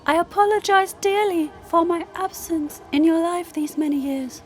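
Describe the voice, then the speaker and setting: Woman as a lofty female moon spirit, extremely high voice, serene, formal, measured audio-drama storytelling, music underneath. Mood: solemn, confessional. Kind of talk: audio drama character monologue. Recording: music behind the voice.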